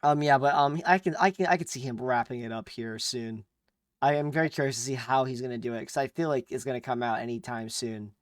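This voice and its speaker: A man's voice: mid-range voice, a little fast, no echo. A young man talks loosely on a podcast, stumbling over fillers and restarting his sentences. His voice sits in a middle range. The sound is close-miked and dry. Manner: laid-back, rambling with fillers and restarts. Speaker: podcast co-host. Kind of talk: casual chat.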